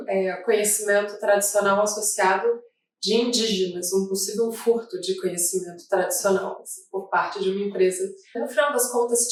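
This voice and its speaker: Woman speaking in an echoey room. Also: medium pitch. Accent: Brazilian Portuguese, Rio de Janeiro. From Brazil. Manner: measured, thoughtful, with frequent restarts. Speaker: federal prosecutor. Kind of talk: oral history interview. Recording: echoey room, mic at a distance.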